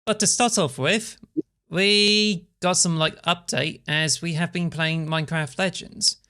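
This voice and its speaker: A woman's voice: on the low side, fairly even intonation, unhurried, pushed and bright. A woman co-hosting a casual podcast while nursing a strained back, rambling along with lots of "like" fillers. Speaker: podcast co-host. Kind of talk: casual chat. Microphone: podcast microphone.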